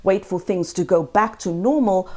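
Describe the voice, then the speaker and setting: A woman hosting a news magazine, presenting and interviewing with a polished, clear delivery. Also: medium pitch, normal speed, darkish tone.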